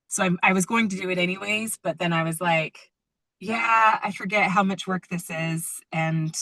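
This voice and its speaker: Female group-call teacher, slightly low pitch, bright forward tone, dry close sound, chatty and informal. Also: no echo, low-quality recording. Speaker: drum-making teacher leading a group call. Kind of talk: casual conversational group call talk.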